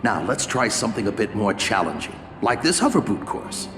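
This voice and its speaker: An actor playing a seasoned old alien general in a video game, a gruff, commanding mentor who instructs theatrically. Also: male voice, on the low side, very animated, brisk, music in the background. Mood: instructing. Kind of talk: video game cutscene acting.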